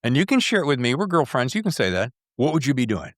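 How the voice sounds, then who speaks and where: A male podcast host in an informal interview, with a mid-pitched voice and very little room sound. He is animated and jokey, wandering off on tangents and circling back to his questions. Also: fast talker, no echo, clean studio sound. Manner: animated, jokey, digressive, excitable. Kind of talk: casual conversational interview.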